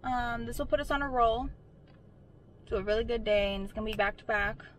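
A woman's voice: on the high side, fairly quick, a little hiss or hum. A young woman chatting to viewers from her car while driving deliveries, in a casual, upbeat way. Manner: chatty, talks to viewers, brightens when greeting staff. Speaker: delivery driver vlogger. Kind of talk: casual vlog chat. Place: inside a car. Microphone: camera on the dashboard. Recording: music playing in a car.

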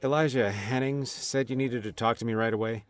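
A man's voice: medium pitch, steady tone, a little fast, lightly acted. A man voicing a hesitant office manager in a radio drama. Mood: uneasy.

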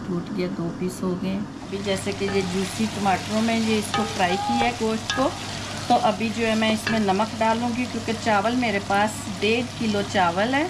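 A woman with a sore, hoarse throat hosting a home cooking video, chatting her way through each step. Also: mid-range voice, steady tone, normal speed, very noisy. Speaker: cooking channel host. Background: frying and sizzling in a kitchen.

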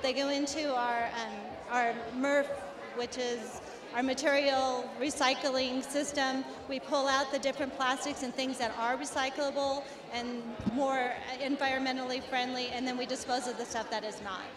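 A woman's voice: sits high, flat delivery, normal speed. A middle-aged woman representing a company, explaining her work earnestly into a handheld mic at an indoor expo. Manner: earnest, explanatory, many restarts. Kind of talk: expo interview. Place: indoor expo hall before a backdrop. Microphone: handheld mic held by the interviewer. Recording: echoey hall with crowd noise.

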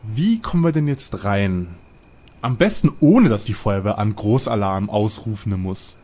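A man playing a nervous, fearful young sidekick detective in a parody audio drama, with a husky voice. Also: fairly low pitch, lively intonation, a little slow, mellow. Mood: nervous, grumbling.

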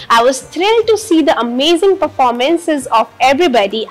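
A woman's voice: a little high, a bit bright, rough recording. A woman in her twenties with an Indian accent, giving a formal testimonial in flowery wording. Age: 20s. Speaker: yoga championship participant, medical student. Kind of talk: on-camera testimonial. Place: bright indoor room by a large window. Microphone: clip-on lapel mic.

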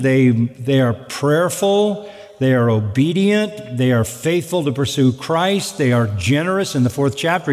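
A man's voice: a little high, a little mellow. Older man preaching in a reverberant sanctuary. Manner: measured, earnest preaching with dry asides. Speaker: pastor. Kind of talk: expository sermon. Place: large church sanctuary at a wooden pulpit. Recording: long reverb of a large sanctuary.

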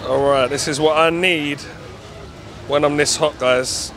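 A man's voice: a little high, steady tone, unhurried, a bit bright. A man, vlogging chattily and enthusiastically about street food, talking into a camera held at arm's length. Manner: chatty, enthusiastic, addresses viewers as guys. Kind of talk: casual street food vlog. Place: outdoor night market. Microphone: handheld camera at arm's length. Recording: faint outdoor market noise.

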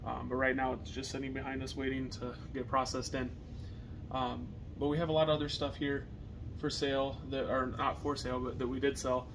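Even-toned young American man, noisy recording. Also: mid-range voice, brisk. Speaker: reseller co-hosting a video channel. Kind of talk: casual chat to camera. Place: partially finished basement, indoors. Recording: noisy basement room recording.

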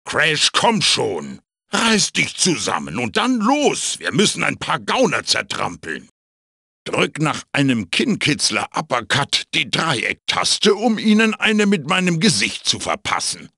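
An actor voicing a wise, bossy old magical wooden mask in a video game, raspy and theatrical, urging and instructing like a mentor. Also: male voice, a little high, huge pitch swings, unhurried, bright, forceful tone, dry recording, clean studio sound, heavily acted. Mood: impatient, urging. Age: older. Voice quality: raspy, gravelly. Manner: theatrical, emphatic, exhorting. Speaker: guide and tutorial mentor. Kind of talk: video game character voice acting.

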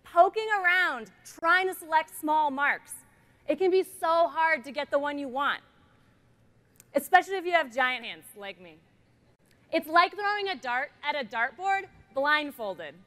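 A middle-aged woman presents a product demo on a big conference stage, talking through a headset mic. Her voice sits high, and she keeps the delivery bright and playful, slipping jokes between features. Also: animated, unhurried.

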